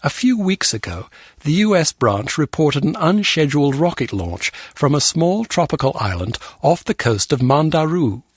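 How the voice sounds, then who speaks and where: Pompous, husky intelligence official. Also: male voice, fairly high pitch, sing-song, normal speed, no echo. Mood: businesslike. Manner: pompous, dry, curt. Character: sceptical, sardonic male intelligence official. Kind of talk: video game voice acting.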